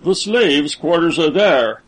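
A man's voice: on the high side, lively intonation, takes it slowly, rough recording. A man voicing a proud, robust rich patriarch of about forty, pompous and declaiming, in a story reading.

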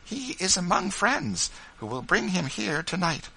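Man voicing a gentle high-pitched motherly Quaker woman. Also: sing-song, unhurried, creaky, slightly rough, full character voice, rough recording. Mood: gentle, tender. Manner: soft, tender, archaic Quaker speech. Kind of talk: audiobook character voice, storybook dialogue.

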